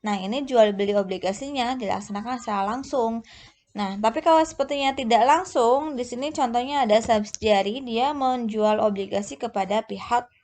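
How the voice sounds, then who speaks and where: High-voiced woman tutoring. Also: moderate pace, darkish tone. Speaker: accounting tutor. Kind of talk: informal explanatory lecture.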